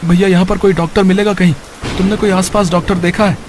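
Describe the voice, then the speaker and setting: A man's voice: high voice, little pitch movement, fast, darkish tone, close to an everyday voice. An anxious, humble labourer father played by a man in a cartoon story. He talks in an earnest, pleading way, with noise behind him. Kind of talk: Hindi cartoon story character voice.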